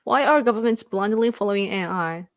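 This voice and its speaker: A woman's voice: mid-range voice, a little fast, no echo. A woman in her thirties with a Japanese accent, hosting a casual livestream, rambling, laughing often and thanking viewers. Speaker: livestream host. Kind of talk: casual livestream chat reading viewer comments.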